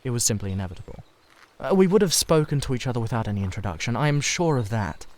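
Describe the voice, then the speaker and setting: A man voicing an anxious, earnest Victorian gentleman painter who confides dramatically in a literary reading. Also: mid-range voice, expressive, fast, natural voice. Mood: earnest reflection.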